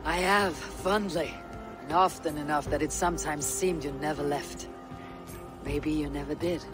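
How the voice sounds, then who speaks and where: A woman playing a steady, loyal Viking warrior in a video game cutscene, with a low, husky voice and a solemn, deliberate delivery. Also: over music. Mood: fond.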